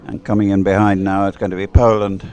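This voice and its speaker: Low, mellow male race commentator. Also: little pitch movement, quick pace, smooth. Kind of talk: live sports commentary, off the cuff.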